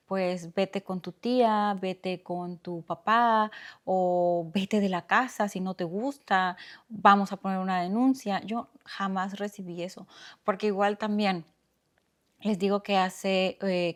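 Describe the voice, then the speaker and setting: Soft-spoken Mexican woman sharing her story. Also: medium pitch. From Oaxaca, Mexico. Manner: soft-spoken, reflective, speaks slowly with pauses. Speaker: podcast host. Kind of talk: personal storytelling monologue.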